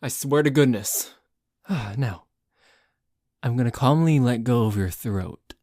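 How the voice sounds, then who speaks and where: A man's voice: medium pitch, animated, takes it slowly, dry recording, clean studio sound. A man playing a possessive, demanding yet playful yandere boyfriend in an intimate roleplay monologue, with theatrical delivery. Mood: controlled menace, jealous. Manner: theatrical, swinging between gushing and soft menace.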